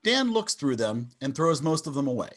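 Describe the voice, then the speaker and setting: Animated male stage-direction narrator. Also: medium pitch, normal speed. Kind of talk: scripted narration. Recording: online video call.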